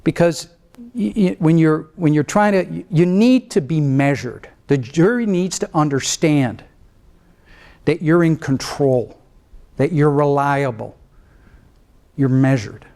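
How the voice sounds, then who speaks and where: A middle-aged man, a trial lawyer, lecturing law students in an animated way, swinging between a low calm voice and sudden raised mimicry. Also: slow pace, vocal fry, pauses often. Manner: animated, mimics other lawyers with rising pitch, then drops low to show restraint.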